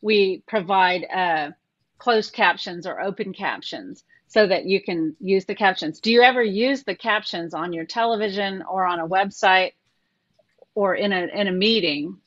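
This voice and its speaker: A woman's voice: medium pitch, unhurried, a bit bright, dry recording. Woman presenting over a lo-fi video call. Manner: conversational, asks the group questions.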